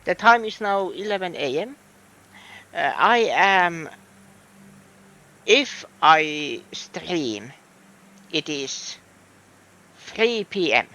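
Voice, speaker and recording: A man with a Finnish accent speaks at an unhurried pace while commenting on a game. His voice is high and bright. He thinks aloud, hesitating and trailing off. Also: very animated. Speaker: streamer. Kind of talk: casual gameplay commentary.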